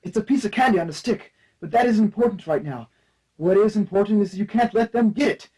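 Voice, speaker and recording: An actor playing a wounded, muddled and stubborn shooting victim in a comic radio play, with a strained, husky voice. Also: mid-range voice, a little fast, mellow, close to an everyday voice. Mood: urgent.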